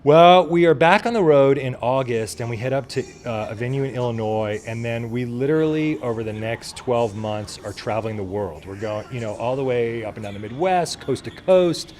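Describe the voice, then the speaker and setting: A man speaking informally and with enthusiasm as the guest in a casual interview, explaining his work as a writer and producer. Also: medium pitch.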